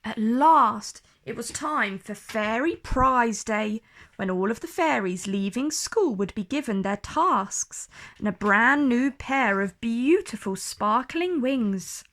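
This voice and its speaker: A woman reads a storybook aloud for children. Her voice is high and moves slowly and deliberately through the text. She is expressive, with plenty of rise and fall. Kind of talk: scripted storybook narration read aloud.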